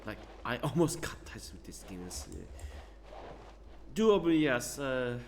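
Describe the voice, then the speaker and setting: A man's voice: mid-range voice, big pitch swings, slow, noisy background. Rambling laughing gamer man. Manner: rambling, laughing, clears throat often. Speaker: gaming streamer. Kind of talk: casual game commentary. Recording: game music behind.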